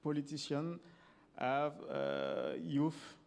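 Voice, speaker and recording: Man, French accent, minister, formal speech, slow, gravelly. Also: a little high, huge pitch swings, a little mellow. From French-speaking African country. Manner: slow, measured, hesitant. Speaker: government minister.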